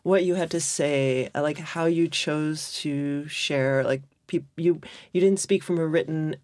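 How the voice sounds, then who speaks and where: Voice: mid-range voice, steady tone, unhurried. A podcast host who teaches writing, talking casually and effusively with lots of fillers and restarts. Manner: rambling, many fillers and restarts, warmly effusive. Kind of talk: casual interview chat.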